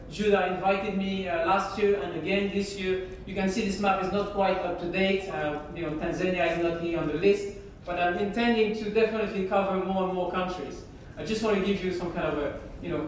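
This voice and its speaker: A woman from France with a French accent giving a conference keynote in a relaxed, conversational way, with frequent pauses and fillers. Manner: conversational, hesitant, many fillers. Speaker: keynote speaker. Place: large conference hall. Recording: very echoey hall from far off, faint rumble.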